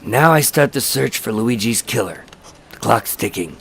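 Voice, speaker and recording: A man's voice: medium pitch, animated, moderate pace, creaky, fairly bright tone, a little hiss or hum. A man playing a weary undercover FBI agent and narrator in a scripted radio drama. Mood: casual, assured. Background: prominent dramatic music.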